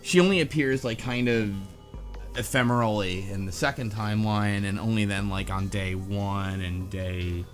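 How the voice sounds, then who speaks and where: A man's voice: lowish voice, steady tone, moderate pace, soft background music. A man hosting a casual group discussion, thinking aloud with frequent restarts and asides. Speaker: podcast host.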